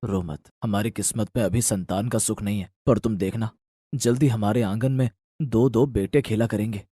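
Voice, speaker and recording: A man voicing a gentle, loyal husband in a moral story, reassuring and resigned. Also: fairly low pitch, steady tone, normal speed, husky, no room sound, clean studio sound. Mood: consoling. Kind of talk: dramatised Hindi moral story acting.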